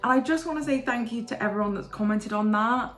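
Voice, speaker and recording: A woman vlogger chatting casually to camera in a bubbly, gushing way, her voice a little croaky from the morning. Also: on the high side, fast talker, a bit bright. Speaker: book vlogger. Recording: roomy room with faint music.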